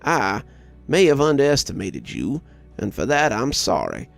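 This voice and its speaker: A man plays an earnest, fair-minded trucking boss in an audio story. He is plainspoken and measured, with a gravelly voice over background music. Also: medium pitch, wildly swooping pitch, full character voice. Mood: sincere, approving.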